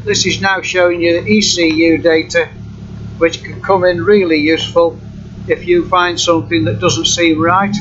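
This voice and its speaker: A man with a slightly high voice talks through a software demonstration informally and without a script. He is matter-of-fact and a little halting. The recording is muffled, with a loud engine hum behind him. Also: level tone. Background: running car engine hum.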